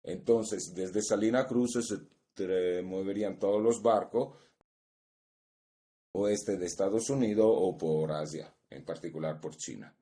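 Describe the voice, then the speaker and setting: Low, mellow man presenting. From Italy. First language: Italian. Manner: measured, working from notes, pausing to find words. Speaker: seminar presenter. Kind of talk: academic conference presentation. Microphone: video call.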